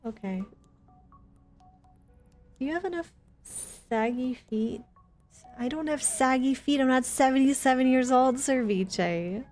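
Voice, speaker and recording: A woman's voice: sits high, slow, pauses often, darkish tone, soft background music. A woman chatting informally and playfully with viewers while she streams a video game. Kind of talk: casual live gaming chat with viewers.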